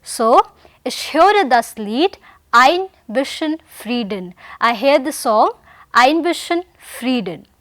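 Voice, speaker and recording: A woman's voice: sits high, expressive, slow pace, fairly bright tone, no room sound. A woman teaching a German vocabulary lesson in English, patiently repeating each word and sentence and calling her learners dear friends. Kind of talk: recorded language lesson.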